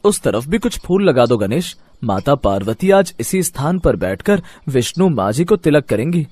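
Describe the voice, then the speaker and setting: A man's voice: medium pitch, big pitch swings, moderate pace, a little mellow, dry recording. A man delivering scripted character dialogue for a children's mythological story.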